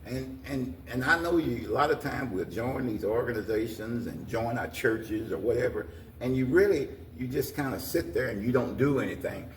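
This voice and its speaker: A man's voice: mid-range voice, moderate pace. An older American man answering interview questions in a folksy, repetitive way, urging people on in plain words. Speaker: guest, community organization president. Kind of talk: interview talk, plain-spoken encouragement. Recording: roomy, distant mic, faint noise.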